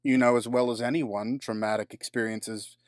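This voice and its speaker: An actor playing a cold, scheming middle-aged businessman villain in an audio drama, formal and measured with a sardonic edge. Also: mid-range voice, steady tone, dry recording, studio quality, lightly acted. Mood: cold, bitter.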